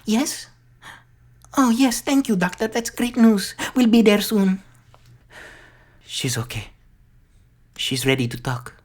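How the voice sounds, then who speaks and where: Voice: medium pitch, lively intonation, takes it slowly, pauses often, pitched above the performer's own voice. A performer reciting as a defiant, anxious young woman with an earnest, accusing manner. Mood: restrained, tense. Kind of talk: dramatic recitation of a film scene.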